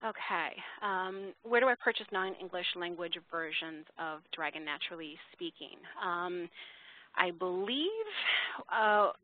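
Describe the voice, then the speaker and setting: A woman's voice: lowish voice, unhurried, no echo. Woman presenter on a tinny phone line. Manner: polite, formal address to audience. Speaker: webinar presenter for a software company. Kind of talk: informal webinar question-and-answer session.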